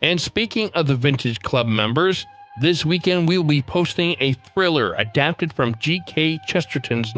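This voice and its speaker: A man's voice: medium pitch, fairly bright tone, highs cut off. A man hosting a podcast, giving scripted commentary about old programs. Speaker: podcast host. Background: faint music.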